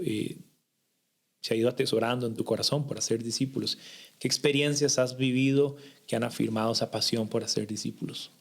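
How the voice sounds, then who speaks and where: A man hosts a faith podcast interview and affirms his guest with short replies. He often stumbles and repeats words as he builds a question, and his voice sits at an ordinary middle pitch. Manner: affirming, with frequent stammered restarts. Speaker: podcast host.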